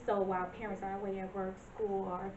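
A woman's voice: mid-range voice, level tone, a little fast, a little mellow, slight background noise. A woman in her thirties introducing herself and a business plan at a village board meeting, earnest and slightly hesitant. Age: 30s. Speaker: business presenter. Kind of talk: public comment at a meeting. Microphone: far camera. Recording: distant echoey lo-fi meeting video.

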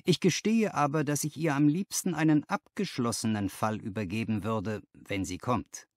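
A man voicing a sharp, probing Victorian detective in an audiobook, with terse, deliberate questions. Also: mid-range voice, no room sound, clean studio sound, close to an everyday voice. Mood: intent, urgent.

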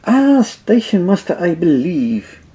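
A man's voice: high voice, very animated, takes it slowly, dark tone, pitched above the performer's own voice, heavily acted. A man voicing a fussy, proud male Belgian detective with a pompous, affected French-style accent, in a told story. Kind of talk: storytelling with voices.

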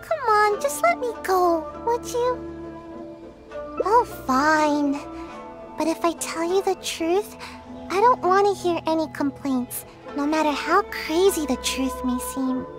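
Small evasive ninja girl for a video game: drowsy, slow-paced, lilting high voice. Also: expressive, music in the background, full character voice. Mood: weary, reluctant. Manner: drowsy, hesitant, sighing. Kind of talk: video game character dialogue.

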